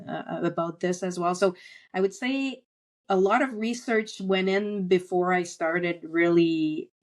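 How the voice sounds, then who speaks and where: A middle-aged woman who grew up speaking French, with a somewhat low voice. She talks in a relaxed interview manner. Her speech is full of small pauses and fillers. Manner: hesitant, many fillers. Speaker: podcast guest, author. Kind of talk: casual interview talk.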